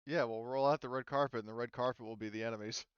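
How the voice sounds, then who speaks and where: A man's voice: mid-range voice, fairly even intonation, quick pace, clean tone, dry recording, lo-fi sound. A man acting as game master, talking casually and swearing freely to players in a tabletop roleplaying session. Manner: casual, profane.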